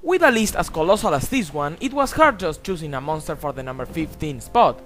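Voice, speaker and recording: A man's voice: on the high side, lively intonation, fairly quick, a bit bright. A young man from Argentina, narrating a countdown video in an emphatic, exclamatory way with crude jokes. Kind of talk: scripted voice-over narration.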